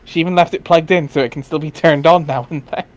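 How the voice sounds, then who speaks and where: A man's voice: on the high side, fairly even intonation, fast talker. A man reading narration aloud in a dry, wry way, dropping in casual asides. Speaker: reader in a group dramatic reading.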